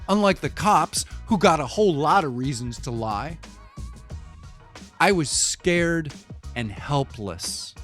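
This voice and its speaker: A young male eyewitness played by a man in an audio drama monologue, with a fairly high voice over music. He is rattled and defensive yet wry, confiding his story in loose, profane street talk. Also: expressive, takes it slowly, a bit bright. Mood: defensive, shaken. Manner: casual, slangy, profane, confiding. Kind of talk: first-person audio fiction monologue, conversational storytelling.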